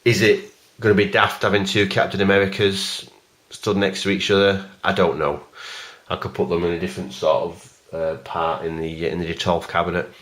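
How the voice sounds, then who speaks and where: A man giving a casual, rambling video review of a collectible figure in a plain, even voice, stopping often for ums and uhs. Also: sits low, normal speed. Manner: rambling and hesitant, many fillers.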